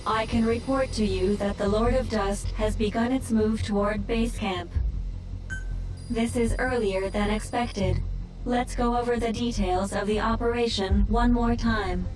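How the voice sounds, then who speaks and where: A woman playing a calm, dutiful artificial intelligence in a video game, speaking in a formal, measured way. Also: a little high, recorded from a distance, faint music behind, natural voice, rough recording. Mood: composed resolve.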